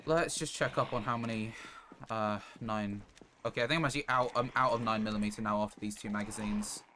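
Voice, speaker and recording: A man's voice: fairly low pitch, brisk, bright, forceful tone. A man live-streaming a survival game, thinking aloud in casual commentary and muttering his decisions to himself. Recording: clearly noisy live stream audio.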